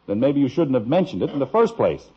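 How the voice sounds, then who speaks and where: Excitable husky radio sitcom father. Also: mid-range voice, quick pace. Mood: insistent. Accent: American. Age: middle-aged. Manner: melodramatic, exasperated. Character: excitable, proud, blustering suburban father. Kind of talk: old-time radio sitcom acting. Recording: dull vintage radio recording.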